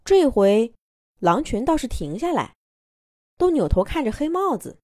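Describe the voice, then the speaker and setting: A woman narrating a children's fairy tale in an expressive, playful storytelling manner. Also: on the high side, a little slow, dry recording, clean studio sound.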